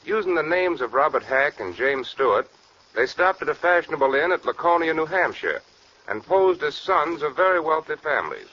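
Higher-voiced, forceful radio sheriff. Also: male voice, very animated, normal speed, slight background noise. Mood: matter-of-fact. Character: brisk Mississippi county sheriff recounting a criminal case. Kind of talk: 1940s radio narration. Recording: lo-fi 1940s radio recording.

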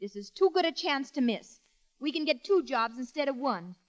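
Bossy young boarding-house woman, high voice, sarcastic, practical, muffled vintage radio. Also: lively intonation, moderate pace. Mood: scheming. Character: sharp-tongued, weary, practical boarding-house landlord's daughter. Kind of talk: 1940s radio comedy acting. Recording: muffled old radio broadcast.

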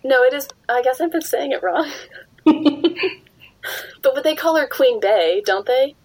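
A young woman hosting a podcast, chatty and quick to laugh as she steers the talk back on topic. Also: high voice, darkish tone, no echo. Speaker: podcast host. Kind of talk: casual chat.

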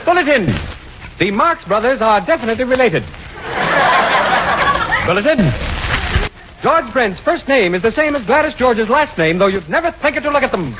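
A man's voice: extremely high pitch, sing-song, moderate pace, pauses often. A man playing a pompous, emphatic Hollywood gossip reporter in a 1940s radio comedy. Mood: pompous, urgent. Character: self-important, breathless Hollywood gossip reporter. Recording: very noisy muffled 1940s radio recording.

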